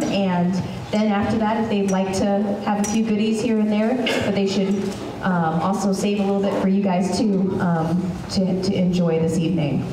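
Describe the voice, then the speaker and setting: A woman in her thirties, hoarse from a head cold, giving a friendly welcome speech at a school concert through a handheld mic, with lots of ums. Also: mid-range voice, background noise. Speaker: school strings teacher. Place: school hall, large room. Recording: echoey hall, taped from far off.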